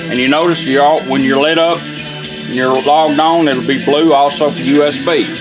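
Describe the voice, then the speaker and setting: A man's voice: on the high side, fairly even intonation, moderate pace, music in the background, poor audio. A man giving a casual, plainspoken hands-on product demonstration in a folksy way, taking it step by step. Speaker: presenter demonstrating a vintage truck radio. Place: inside a pickup truck cab.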